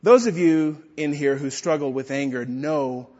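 A man preaching a measured sermon, with pointed asides to the congregation. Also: a little high, darkish tone. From Texas. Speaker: pastor. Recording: dull lo-fi sound in a reverberant hall.

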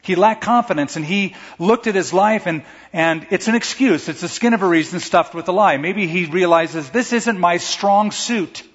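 A man with a somewhat high, husky voice preaches in a conversational, animated way, now and then mimicking a stammer. His words ring with the long reverb of a large hall, and the sound is slightly dull. He delivers the sermon as a pastor to his congregation. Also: normal speed.

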